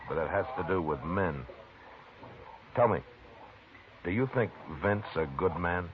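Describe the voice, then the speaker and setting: An actor playing a stern, steady frontier marshal in a 1950s radio drama, with a calm, firm, clipped delivery. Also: male voice, low voice, measured pace. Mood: thoughtful. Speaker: town marshal. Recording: tinny vintage radio broadcast with hiss.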